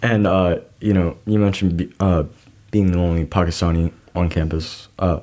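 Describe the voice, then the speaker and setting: A man's voice: deep voice, level tone, moderate pace, dark tone. A young man hosting an interview, rambling through his questions with many fillers and joking at his own expense. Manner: rambling, full of fillers, self-deprecating. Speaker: podcast host.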